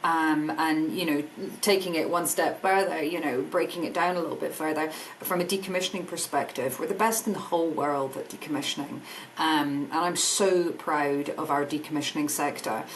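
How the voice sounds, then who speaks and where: Low-voiced Scottish woman, earnest. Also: fairly even intonation, normal speed, slight background noise, rough recording. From Scotland. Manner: earnest, enthusiastic, repeats words while thinking. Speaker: guest, energy business director. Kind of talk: podcast interview.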